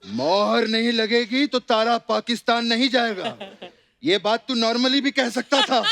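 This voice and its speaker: A man's voice: very high voice, measured pace, vocal fry, exaggerated voice. A man playing a boozy, scheming father in a theatrical stage comedy sketch. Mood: indignant. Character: boozy, scheming elderly father, comic film-star parody. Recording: loud noise from studio audience.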